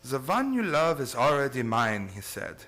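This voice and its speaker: Male actor as commanding vampire hunter, bright tense voice, middle pitch, formal and urgent, radio drama. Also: very animated. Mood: resolute. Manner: formal, insistent, deliberate phrasing. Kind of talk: old-time radio drama acting.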